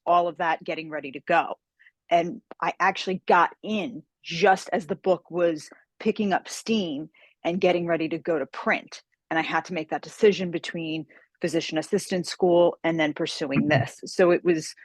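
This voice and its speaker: A woman's voice: on the low side, normal speed, no echo. A woman guest talking about her work as a fantasy author, chatty and enthusiastic, on a video call. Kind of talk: casual interview conversation.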